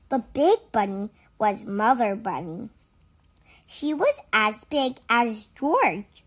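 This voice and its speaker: A woman reading a children's picture book aloud with deliberate, expressive phrasing. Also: on the high side. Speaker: storybook reader.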